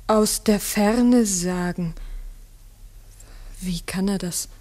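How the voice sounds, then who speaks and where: An uneasy village schoolteacher speaks in a medium-pitched, hushed voice. Her delivery is wondering and questioning, in the style of 1960s radio drama. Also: drawn-out words. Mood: hushed unease. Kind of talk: 1960s German-language radio play acting.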